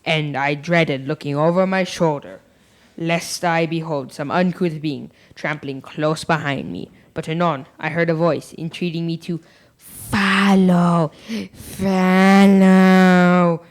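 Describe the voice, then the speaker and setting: A woman's voice: fairly low pitch, steady tone, slow. Young female pompous, superstitious schoolmaster storyteller. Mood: eerie, grandiose. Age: young teen. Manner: ornate, theatrical storytelling. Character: Ichabod Crane, pompous superstitious schoolmaster telling ghost tales. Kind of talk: stage radio play acting.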